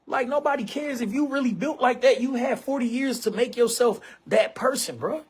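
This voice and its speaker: A man's voice: extremely high pitch, normal speed, husky. A man in his forties hosting an online show, talking casually to camera in an emphatic, repetitive way and often calling listeners bro and man. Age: 40s.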